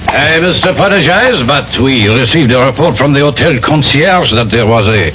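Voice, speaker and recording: An actor playing a polite but insistent police inspector in a radio drama, formal and firm. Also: male voice, mid-range voice, expressive, moderate pace, lightly acted. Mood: courteous, suspicious. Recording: dull, noisy 1970s radio recording.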